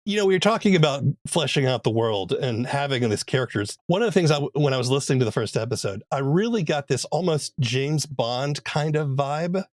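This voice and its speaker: A man interviewing podcast creators in a relaxed, musing way. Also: medium pitch, fairly quick, few pauses, no room sound, clean studio sound. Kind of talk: casual interview.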